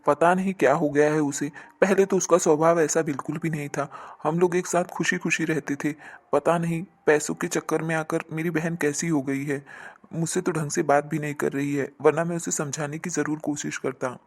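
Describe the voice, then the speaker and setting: A man acts a troubled husband and brother in an animated family moral story. His voice is a little high and stays very flat as he complains in a subdued, weary way. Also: moderate pace, few pauses. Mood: worried.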